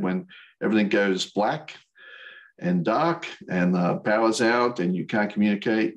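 An older man with an American accent giving an informal slide presentation in a hesitant, halting way. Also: lowish voice, poor audio. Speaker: volunteer instructor, radio operator. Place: video call.

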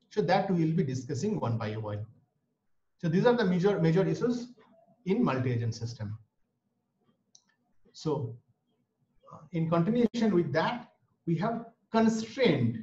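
A man's voice: a little high, a little mellow. Indian man lecturing over a dull, roomy call. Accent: Indian English. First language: Bengali. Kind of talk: online lecture. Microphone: webcam or laptop mic on a video call.

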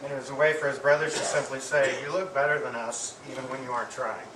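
A middle-aged man giving an affectionate eulogy to an audience, speaking haltingly with pauses and repeated words. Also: medium pitch, fairly even intonation. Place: medium-sized indoor hall with audience. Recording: distant mic, echoey room, clear background noise.

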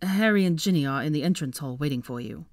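A gentle young man from a wizarding storybook, acted by a woman. He is earnest and a little hesitant, with lively intonation in a dry recording. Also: deep voice, fast talker, dry recording. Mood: apologetic. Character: gentle, awkward, apologetic young male wizard.

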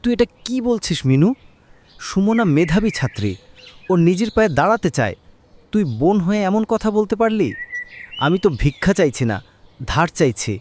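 A man's voice: high-pitched, very animated, normal speed, long pauses, darkish tone, slight background noise. A man playing a troubled, humble middle-aged father in a cartoon drama, speaking in a weary, pleading way. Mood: hurt, indignant.

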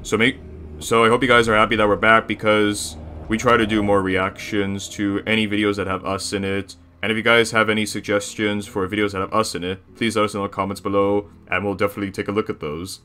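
A crude, self-satisfied cartoon bear boss, acted out by a man, commenting on videos. The voice sits on the low side with a hard, forceful edge, and the manner is bossy and boastful. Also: flat delivery, fast talker, a little hiss or hum. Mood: excited, casual. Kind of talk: character reaction commentary.

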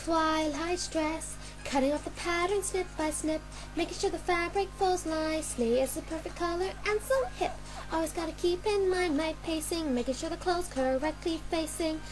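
A woman's voice: very high-pitched, normal speed, poor audio. A woman singing an amateur fan cover of a musical cartoon song, with music behind her. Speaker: fan singer and dubber.

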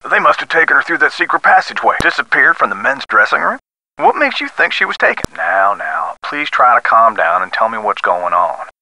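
An excitable theater caretaker from a video game. His voice is fairly high, piercingly bright and hugely animated. He has a folksy, fussing manner. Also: no room sound, exaggerated voice, rough recording.

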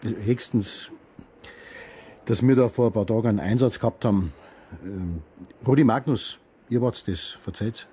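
An actor playing an easygoing small-town policeman with a Bavarian accent in a radio crime drama, unhurried and matter-of-fact. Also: lowish voice, mellow, slightly rough. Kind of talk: naturalistic German radio crime drama acting.

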